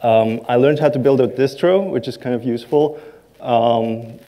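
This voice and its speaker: A man's voice: medium pitch, dull audio. Hesitant man giving a conference talk. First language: Serbo-Croatian. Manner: halting, many ums and uhs, self-deprecating. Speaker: conference speaker. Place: large conference stage.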